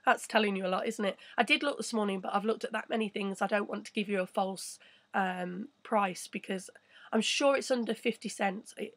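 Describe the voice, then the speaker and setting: A woman hosting a casual live stream, chatting and rambling with a croaky voice. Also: medium pitch, no room sound. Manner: chatty, rambling, reads viewer messages. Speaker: crypto channel host.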